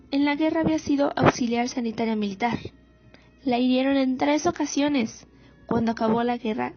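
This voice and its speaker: A woman playing a proud, wounded female war veteran recounting memories in a radio drama monologue. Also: high-pitched. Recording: muffled audio with music underneath.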